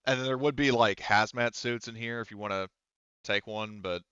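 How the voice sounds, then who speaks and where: A man working as game master, casually explaining scenes in a halting, improvised way. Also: on the low side, fairly bright tone, smooth, no room sound, dull audio. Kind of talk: improvised tabletop game narration.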